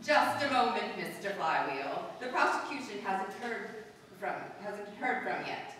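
A woman's voice: mid-range voice, sing-song, measured pace, a bit bright, slight background noise. A woman playing an exasperated, formal judge in a live stage recreation of a 1930s radio comedy. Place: community hall stage with live audience. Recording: echoey hall from far off.

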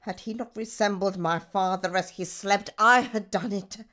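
An actress playing a ruthless, scheming noblewoman, speaking Shakespearean verse in a deliberate, theatrical manner for a radio drama. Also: mid-range voice, pushed and bright, close to an everyday voice. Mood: tense.

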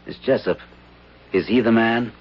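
An actor in a 1970s radio drama playing a calm government investigator who explains things in a measured way. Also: male voice, fairly low pitch. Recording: dull 1970s radio broadcast.

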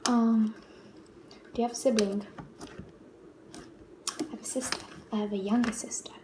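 A woman's voice: fairly high pitch, fairly even intonation, moderate pace. Rambling teenage girl in a noisy, echoey room. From Australia. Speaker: vlogger. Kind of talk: casual question-and-answer chat to camera. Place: small bare-walled room at home. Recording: roomy, loud background noise, clicks.

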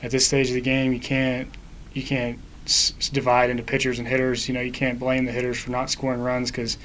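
A man's voice: medium pitch, completely flat delivery, brisk, a bit bright, a little hiss or hum, poor audio. A man in his twenties, a college baseball player, giving matter-of-fact answers at a postgame press conference. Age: 20s. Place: indoor press room.

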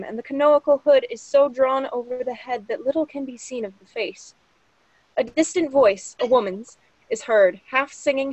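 High-pitched young woman narrating. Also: no echo, poor audio. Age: young adult. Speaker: reader of stage directions. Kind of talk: scripted narration. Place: small lit room at home, on a video call.

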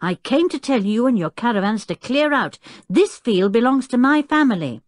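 A woman's voice: fairly high pitch, very animated, measured pace, no room sound, clean studio sound, pitched above the performer's own voice. A woman voicing a headstrong young boy in a children's storybook, blunt and self-important. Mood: furious.

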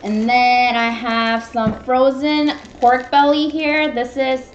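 Young female live-stream cooking host, high pitch, casual meandering chat, dull room sound with music behind. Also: level tone, takes it slowly. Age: young adult. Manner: informal, meandering, greeting viewers. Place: small home room, indoors at a table. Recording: echoey, dull webcam stream, background music.

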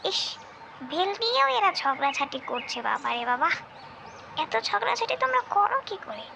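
A woman's voice: extremely high pitch, big pitch swings, moderate pace, creaky, bright, forceful tone, a little hiss or hum, full character voice. A woman voicing a hungry, grumbling, threatening female tree ghost in a cartoon folk tale, muttering to herself and repeating phrases. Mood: irritated, threatening.

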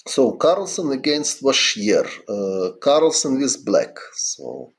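A middle-aged man from Ukraine with a Ukrainian accent, chatting casually on a chess stream in halting English with many fillers. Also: medium pitch, very animated. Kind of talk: casual livestream chat answering viewers.

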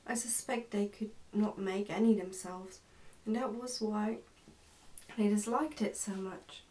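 A young woman reads a storybook aloud in a soft, hushed, careful ASMR style. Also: mid-range voice, fairly even intonation, measured pace, far from the mic, rough recording. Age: young adult. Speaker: ASMR narrator reading a book aloud. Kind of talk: ASMR storybook reading, soft-spoken and whispery. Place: indoors, small plain room.

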